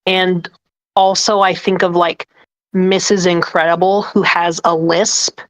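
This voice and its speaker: A woman co-hosting a podcast, speaking in a measured, encouraging way with some fillers in a casual chat. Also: fairly low pitch, flat delivery, low-quality recording. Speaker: podcast co-host. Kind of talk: casual group chat on a call.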